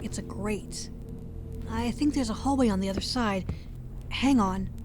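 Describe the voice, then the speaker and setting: A woman playing a wary young woman exploring a dark house in an audio drama, nervous but wry. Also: medium pitch, measured pace, over music, close to an everyday voice. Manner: nervous, wry, self-talking.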